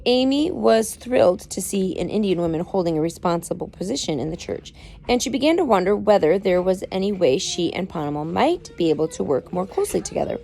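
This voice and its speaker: A woman's voice: medium pitch, big pitch swings, fairly quick, vocal fry, dark tone, slight background noise, poor audio. A woman reading a book aloud expressively, with dramatic emphasis and informal explanatory asides. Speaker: parent reading aloud to children.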